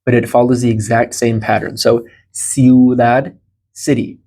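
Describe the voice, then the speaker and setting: An American man in his thirties teaches a small informal language group. His voice sits at a medium pitch. He explains patiently and encourages his learners as he goes. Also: little pitch movement, unhurried, warm tone, slightly rough. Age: 30s. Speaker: Spanish teacher. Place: small indoor room beside a large monitor.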